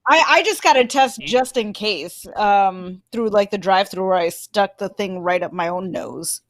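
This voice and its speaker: A woman's voice: medium pitch, normal speed, no echo. A woman in her thirties chatting casually on a group call. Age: 30s. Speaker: podcast cast member. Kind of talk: casual chat.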